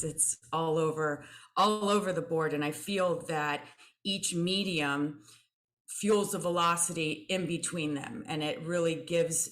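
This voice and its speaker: A woman's voice: sits low, fairly bright tone. A middle-aged woman from Belgium, an artist guest answering interview questions thoughtfully with many fillers and restarts.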